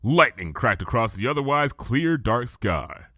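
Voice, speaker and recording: A man reading a fan fiction story aloud, calm storytelling narration. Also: mid-range voice, measured pace, noisy background. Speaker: reader. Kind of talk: first-person audiobook narration.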